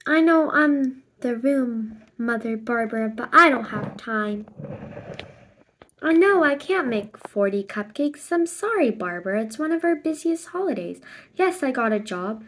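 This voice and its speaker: Female reader as overwhelmed storybook mom, weary, apologetic, unhurried. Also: on the high side, animated, a bit bright. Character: busy, overwhelmed working mother in a storybook.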